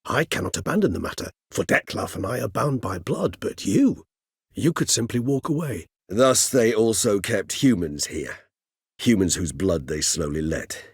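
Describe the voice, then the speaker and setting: An erudite, world-weary vampire gentleman from a fantasy video game. His voice is low and very clean, recorded close and dry. He speaks formally and eloquently, weighing his words with reflective, gentle gravity. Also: sing-song, husky, no room sound, full character voice. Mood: earnest, weary. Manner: formal, eloquent, measured with thoughtful pauses. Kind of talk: video game character dialogue.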